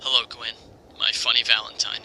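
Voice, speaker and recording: An actor playing a tender, grieving young male superhero in a comic dub, speaking in an earnest, halting, reflective way. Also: medium pitch, little pitch movement, sharp tone, rough recording. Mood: wistful, grieving. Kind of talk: comic dub, dramatic monologue. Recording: music under the voice. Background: music.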